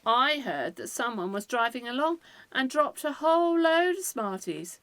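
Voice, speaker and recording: A woman's voice: high-pitched, very animated, normal speed, a bit bright, no echo, exaggerated voice. A woman playing an inquisitive wartime factory worker in an amateur radio play, gossipy and questioning.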